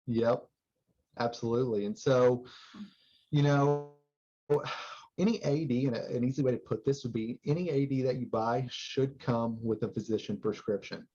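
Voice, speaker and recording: Earnest texas guy on a podcast, lots of ums, middle pitch, dull lo-fi audio. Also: steady tone, normal speed, warm tone. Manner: earnest, many fillers and restarts. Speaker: guest, life support and fire safety instructor. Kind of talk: podcast interview, explaining. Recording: dull lo-fi sound.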